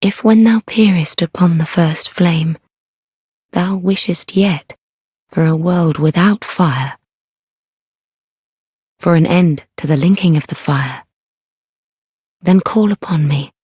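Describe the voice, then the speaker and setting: A solemn young keeper of a sacred fire for a dark fantasy game. Her female voice is soft and fairly low, recorded dry and close. She delivers archaic, reverent lines at a slow, measured pace with quiet devotion. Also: dry recording, exaggerated voice. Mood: solemn, gentle. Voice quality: soft, breathy. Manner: hushed, measured, formal, reverent.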